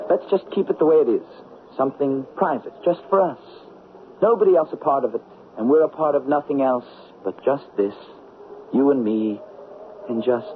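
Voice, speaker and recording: A man acting a doomed young lover in vintage radio drama. He is halting, pleading and emotional, his pitch swinging widely. The broadcast sound is narrow and muffled, with faint noise. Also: lightly acted. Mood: evasive, pleading. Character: tormented young man in love, terminally ill.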